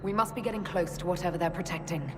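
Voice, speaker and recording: Woman as a low-voiced, resolute fantasy adventurer. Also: fast, lightly acted. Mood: focused, businesslike. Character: resolute female fantasy adventurer, practical and focused. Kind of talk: video game cutscene acting. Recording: loud music behind the voice. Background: loud music.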